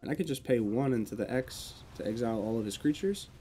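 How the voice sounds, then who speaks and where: A young man with a congested voice and a sore throat, casually talking through a digital card game as he plays and thinks out loud. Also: mid-range voice, fast talker, soft background music. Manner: relaxed, thinking aloud while playing. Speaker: gaming video creator.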